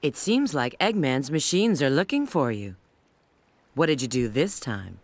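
A woman's voice: extremely low pitch, very animated, unhurried, a little hiss or hum, heavily acted. An actress playing a sassy, sly female bat secret agent in a video game. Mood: teasing. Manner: teasing, sly. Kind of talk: video game cutscene acting.

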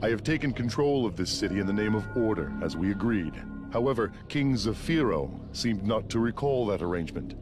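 A man as a stern, low-voiced armored commander. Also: expressive, music in the background, close to an everyday voice. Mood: stern, formal. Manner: formal, authoritative, measured. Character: stern, order-obsessed armored guardsman commander. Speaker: game character. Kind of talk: video game cutscene acting.

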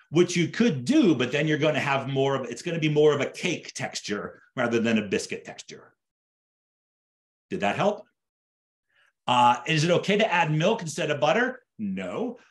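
Middle-aged man teaching cooking. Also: medium pitch. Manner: explanatory, repeats and rephrases as they go. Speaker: chef teaching an online cooking class. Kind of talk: conversational live cooking demonstration. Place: home kitchen, indoors.

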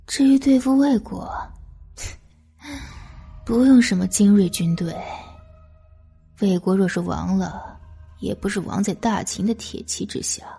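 A woman voicing a young captive strategist, weak yet defiant and sharp-witted, curt and weary in an audiobook drama. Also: medium pitch, a little slow, vocal fry, pauses often, breathy edge, faint music behind, pitched below the performer's own voice, heavily acted. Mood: weak, scornful.

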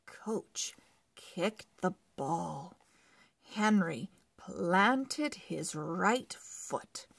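Very slow, expressive woman reading to children. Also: lowish voice, creaky, breathy edge, no echo. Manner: deliberate, word-by-word phrasing with animated emphasis. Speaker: children's book read-along narrator. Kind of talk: slow expressive read-aloud for early readers.

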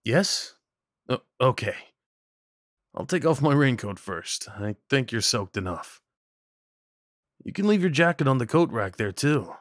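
A man acting a kind, slightly awkward neighbor in an audio roleplay, talking in a gently concerned, hesitant, conversational way. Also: medium pitch, very animated, a little slow, long pauses, no echo, clean studio sound. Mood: gentle, awkward. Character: kind, awkward, caring male neighbor comforting someone. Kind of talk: ASMR roleplay, soft one-sided dialogue acting.

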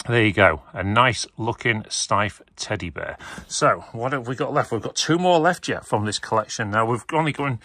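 Casual male auctioneer, bright forward voice, rambling friendly delivery, phone-camera sound. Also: mid-range voice, no echo. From England. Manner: chatty, rambling, with many fillers. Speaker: auctioneer showing lots. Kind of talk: casual video walkthrough. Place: indoors beside a leather armchair.

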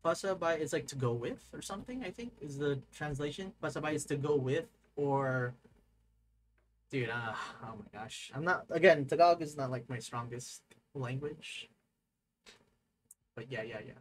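Excitable young man streaming from his desk. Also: fairly high pitch, moderate pace, slight background noise. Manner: rambling, excitable, reads chat aloud, laughs often. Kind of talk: casual live-stream chat. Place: desk at home, overhead camera.

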